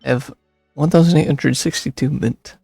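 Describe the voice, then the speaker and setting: A man with a gravelly, husky voice giving casual, rambling gameplay commentary, muttering to himself on a close mic. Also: mid-range voice, fairly even intonation, moderate pace, dark tone, no room sound. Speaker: video game streamer. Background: game music.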